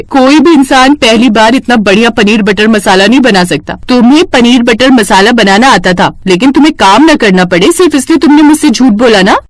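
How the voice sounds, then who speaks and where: Cartoon housewife employer, played by a female narrator: young, raised pitch, breezy, bossy yet friendly. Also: no room sound, lightly acted. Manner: chatty, bossy but friendly. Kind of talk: Hindi cartoon story dialogue.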